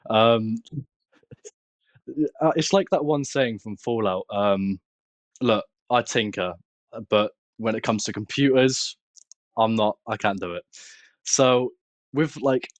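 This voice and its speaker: A man with a British accent chatting casually as an interview guest, plain-spoken, halting and self-deprecating. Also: fairly low pitch, no room sound. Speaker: interview guest, content creator. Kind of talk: casual chat.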